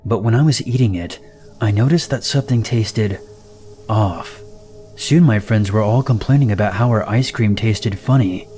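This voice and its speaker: Horror narrator, male, lowish voice, steady delivery, music bed under the voice. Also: normal speed. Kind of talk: scripted narration of reader-submitted stories. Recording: narration over loud background music.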